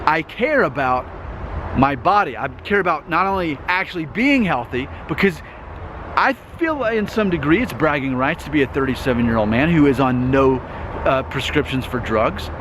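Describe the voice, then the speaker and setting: A man's voice: fairly high pitch, expressive, normal speed. A man in his thirties talking to camera in an earnest vlog monologue. He repeats himself and keeps checking in with "okay?". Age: 30s. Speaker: YouTube host. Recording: noisy outdoor recording with traffic.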